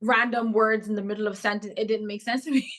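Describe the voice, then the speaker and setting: Woman, 30s, Cameroonian-English accent with an American lean, lively reflective guest. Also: mid-range voice, quick pace. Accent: Cameroonian English, softened toward American. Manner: reflective, animated storytelling with frequent laughs. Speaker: podcast guest. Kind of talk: casual interview conversation.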